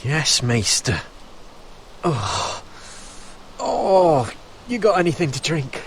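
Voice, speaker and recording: A man's voice: fairly high pitch, sing-song, takes it slowly, slight background noise, exaggerated voice. An actor voicing a wounded young wildling man in a video game, defensive and grumbling. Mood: pained, complaining. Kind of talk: dramatic video game acting.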